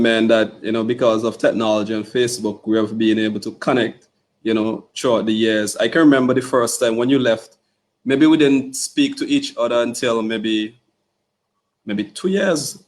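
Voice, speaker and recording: A man hosting an interview podcast, talking in a casual, playful way with lots of "you know" and "okay". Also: mid-range voice. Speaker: podcast host.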